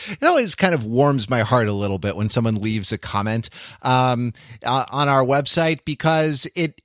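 A man hosting a podcast in a casual, conversational style, halting and often circling back to rephrase. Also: mid-range voice, a bit bright, no echo, studio quality. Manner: halting, repeating and rephrasing phrases as he goes. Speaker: podcast host.

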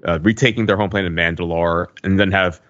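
A man's voice: sits low, steady tone, fairly quick, fairly bright tone, highs cut off. A man co-hosting a podcast in casual discussion, emphatic, with stammered repetitions of words. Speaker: podcast host. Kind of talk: casual pop culture discussion.

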